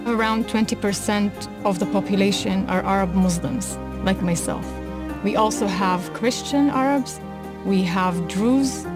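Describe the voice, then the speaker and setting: A woman from Israel telling her personal story in an earnest, measured way. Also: mid-range voice. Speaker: speaker in a personal testimony video. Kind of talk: personal testimony. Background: background music.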